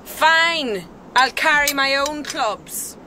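A clever young upper-class woman in a radio play, cool and mocking. She speaks slowly and archly, her mid-range voice rising and falling freely. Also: sits high, animated, over loud music, exaggerated voice. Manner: arch, self-possessed. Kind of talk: radio drama acting.

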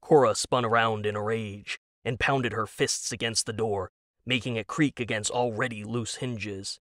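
A man narrating a scripted dramatic story in a deliberate, wry, deadpan manner. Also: on the low side, dry recording, clean studio sound.

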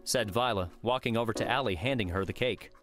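Low-voiced male audiobook narrator. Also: a little fast, over music. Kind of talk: scripted fiction narration.

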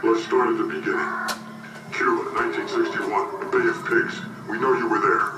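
Man as a harsh interrogator, demanding, game audio from a TV across a room, loud music behind. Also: medium pitch, level tone, normal speed, close to an everyday voice. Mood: threatening. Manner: demanding, threatening. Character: harsh male interrogator, cold and menacing. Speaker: interrogator in a war video game. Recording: game audio from a TV speaker, roomy and distant, over loud music.

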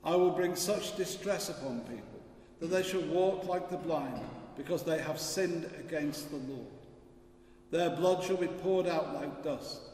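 A man's voice: on the high side, darkish tone. Older man reading in an echoing church. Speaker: scripture reader at a church service. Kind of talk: formal scripture reading. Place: large stone church, at a lectern. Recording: echoey church, distant mic, hum.

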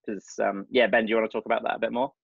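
A man talks through a programming lesson over a video call, pausing on frequent ums and correcting himself. His voice is low and gravelly, going a bit croaky. The call audio is dry and muffled. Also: level tone, no room sound. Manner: hesitant, many ums, self-correcting. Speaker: tutorial presenter. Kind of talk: casual live coding lesson on a video call. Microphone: video call microphone.

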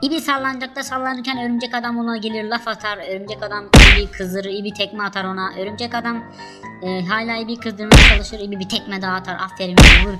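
A woman giving playful, informal running commentary on cartoon skits, with loud music behind her. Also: mid-range voice, fairly even intonation, quick pace. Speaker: narrator.

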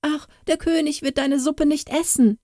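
A woman voicing a poor young girl in a fairy tale, speaking high, pleading and breathless. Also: normal speed, warm tone, no room sound, studio quality. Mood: urgent. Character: poor young strawberry girl, frightened and earnest.

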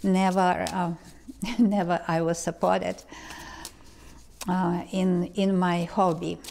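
A woman, talking slowly to camera as a tarot reader, pausing and restarting often. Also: lowish voice, little pitch movement, gravelly, darkish tone, a little hiss or hum. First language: Ukrainian or Russian. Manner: slow, halting, many restarts and ums. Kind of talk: casual vlog talk to camera. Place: living room indoors. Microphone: lapel mic.

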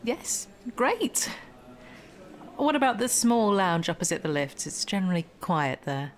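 Young professional woman, medium pitch, poised, smooth-talking, evasive, faintly condescending, natural audio drama delivery. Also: very animated, unhurried, slight background noise. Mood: breezy. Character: polished, evasive young female finance professional.

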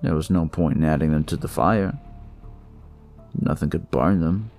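A man's voice: rumbling low, normal speed, gravelly, a little mellow, faint music behind. A man narrating a first-person noir horror story in a dramatic storytelling style.

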